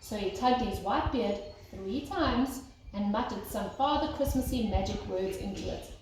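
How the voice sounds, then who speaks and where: A woman's voice: medium pitch, expressive, measured pace, darkish tone. A woman teacher reading a children's storybook aloud expressively, as if to young listeners. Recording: very echoey room, faint noise.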